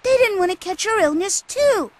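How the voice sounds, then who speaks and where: A woman's voice: very high-pitched, lively intonation, moderate pace. A woman voicing a naive, cheerful young boy in a video game, earnest and inquisitive. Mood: curious. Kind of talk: video game cutscene voice acting.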